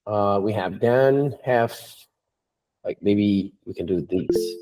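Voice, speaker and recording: A man's voice: fairly low pitch, slow, mellow, low-quality recording. A man taking part in a casual group chat at a meetup. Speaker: meetup participant.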